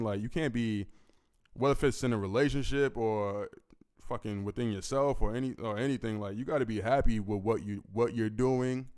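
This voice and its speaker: Man, 20s, deep gravelly commanding voice, resonant and mid-pitched, relaxed profane Q&A delivery. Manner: laid-back, profane, keeps asking "you know what I mean". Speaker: musician answering fan questions. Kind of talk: casual solo Q&A.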